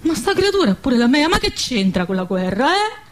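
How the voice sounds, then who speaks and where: A woman's voice: high voice, very animated, a little fast. An actress playing a defiant, pregnant young village woman in a radio drama, anguished and impassioned, with a southern Lazio dialect. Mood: pleading, defiant. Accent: southern Lazio Italian dialect.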